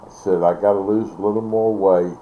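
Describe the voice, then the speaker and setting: An older man chatting casually and ramblingly, halting and repeating words, recorded on a device mic in a bedroom. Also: deep voice, steady tone. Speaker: vlogger. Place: small bedroom, indoors. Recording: dull, noisy webcam audio.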